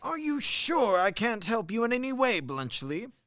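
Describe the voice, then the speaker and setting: A man's voice: very high voice, sing-song, normal speed, fairly bright tone, soft background music, heavily acted. A man acting a blustering, genial old army major in a radio play, with a hearty, theatrical manner. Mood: genial, contented.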